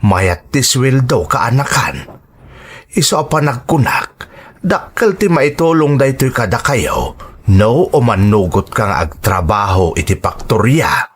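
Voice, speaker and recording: A man playing a supportive uncle in a radio drama, measured and earnest, with an Ilocano accent. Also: medium pitch, expressive, slightly rough. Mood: earnest, persuasive. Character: supportive older male uncle, earnest and reassuring family counselor.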